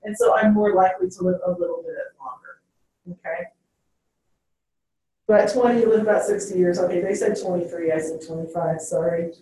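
A woman's voice: on the low side, fairly even intonation, moderate pace, warm tone. Woman lecturing in an echoey classroom. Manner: ends phrases with "okay?". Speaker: teacher. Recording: very echoey classroom, distant mic.

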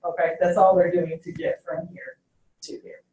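A woman's voice: lowish voice, sing-song, moderate pace, dark tone, slight background noise. A woman teacher giving a classroom lecture, checking in with "okay?" after each point. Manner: ends phrases with "okay?". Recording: very echoey classroom, distant mic.

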